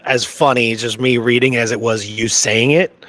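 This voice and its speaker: A man's voice: medium pitch, steady tone, normal speed, fairly bright tone, dry recording. A man co-hosting a show in casual banter, with a laid-back, wry way of talking. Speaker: podcast co-host.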